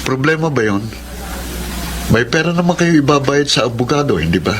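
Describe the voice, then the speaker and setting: A man's voice: medium pitch, lively intonation, fairly quick, a bit bright, slight background noise, natural voice, low-quality recording. A man playing a bitter, blunt younger brother in a radio drama, scornful and emphatic. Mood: dismissive. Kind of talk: Filipino radio drama acting.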